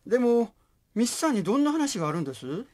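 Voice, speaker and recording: A woman's voice: fairly high pitch, very animated, fast talker, warm tone. A woman acting in a radio drama as a friendly, chatty saxophone player out shopping. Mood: friendly, curious.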